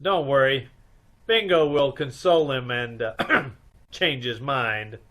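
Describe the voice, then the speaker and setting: Man playing wry private eye, mid-pitched, crisp forceful tone, teasing, commanding, old-time radio mystery. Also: slow. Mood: amused, teasing. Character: wry, cool, wisecracking private detective husband.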